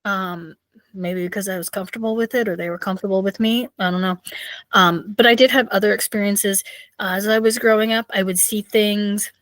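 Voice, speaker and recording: A woman in her thirties with a raspy voice, hosting a podcast and chatting casually with lots of fillers. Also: mid-range voice, fairly even intonation, a little fast, a bit bright, dry recording. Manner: chatty, rambling, with many fillers. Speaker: podcast host. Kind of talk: casual solo storytelling.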